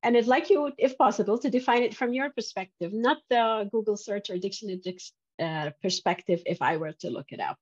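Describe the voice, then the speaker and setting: A woman's voice: medium pitch, brisk, few pauses, a little mellow. A middle-aged woman interviewing a guest conversationally as host, recorded over a video call. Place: indoor room, video call. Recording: muffled band-limited video call audio.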